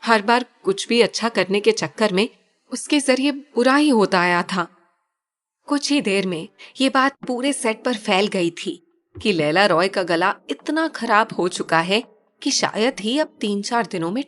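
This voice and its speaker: A woman's voice: mid-range voice, a little slow. Woman narrating a story audiobook. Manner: steady, even storytelling.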